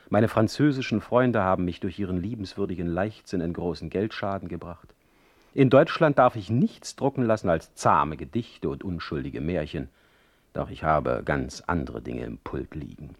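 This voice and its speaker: An actor playing a sardonic, proud exiled poet in a radio play, speaking in eloquent, ironic, deliberately phrased monologue. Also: male voice, low-pitched, lively intonation, dry recording. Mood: ironic, troubled.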